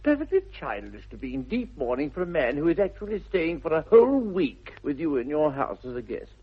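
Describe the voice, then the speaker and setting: A man playing a charming, flippant young upper-class English gentleman with an airy theatrical manner in a radio comedy. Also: fairly high pitch, very animated, fairly quick, breathy edge. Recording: dull 1960s radio recording.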